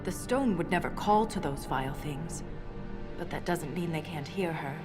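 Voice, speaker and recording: A principled dwarf historian from a video game, played by a woman, with a fairly low female voice. She speaks in a measured, precise manner with a dry, stubborn edge. Music plays clearly behind her. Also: close to an everyday voice. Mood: dry, sparring. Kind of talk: video game cutscene dialogue.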